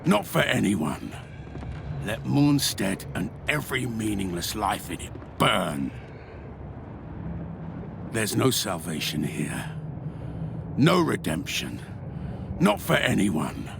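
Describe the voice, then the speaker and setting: A man playing a bitter, defiant man bent on vengeance, brooding and gravelly, in a dark fantasy game cutscene. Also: fairly low pitch, very animated, noisy background, heavily acted. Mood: grim menace. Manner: slow, brooding, menacing delivery.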